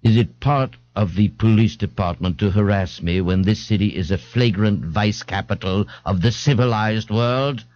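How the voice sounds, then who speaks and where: A man's voice: on the low side, moderate pace, hardly any pauses, darkish tone, highs cut off, natural voice. A man doing a pompous, haughty thirty-year-old. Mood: bellowing tirade. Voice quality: bellowing, grandiloquent, condescending.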